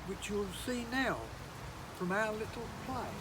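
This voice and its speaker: A performer playing a playful village narrator, delivering an arch, deliberate rhyming prologue to an audience in a theatrical monologue. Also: mid-range voice, lightly acted. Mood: teasing. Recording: noisy amateur online recording, rain-like hiss.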